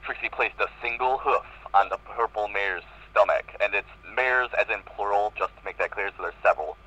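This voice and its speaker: A man's voice: lowish voice, moderate pace, pushed and bright. A man reading fan fiction aloud in an amateur group, given to asides and corrections. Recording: muffled lo-fi voice-chat audio.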